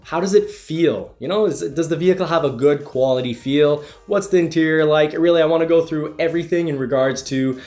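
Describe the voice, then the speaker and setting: A man's voice: on the high side, a little fast, hardly any pauses, a little mellow, faint music behind. A man with a congested, stuffy nose giving a casual, explanatory car review from inside the vehicle, often restarting his sentences. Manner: explanatory, restarts with "you know". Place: inside a car, partly driving.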